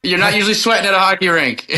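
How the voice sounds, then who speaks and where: A man's voice: high voice, sharp tone. A man giving easygoing, conversational answers as a country singer guest in a casual interview.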